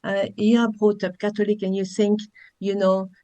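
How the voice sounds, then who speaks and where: An older woman from Belgium with a Belgian French accent, a soft-spoken, unhurried guest on a radio interview. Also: mid-range voice, flat delivery.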